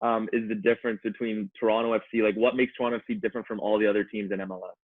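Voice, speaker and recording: Fast-talking man on a tinny line. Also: lowish voice, level tone, no echo. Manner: casual questioning with fillers. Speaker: podcast co-host. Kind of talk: casual podcast interview. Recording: dry, tinny phone-like line.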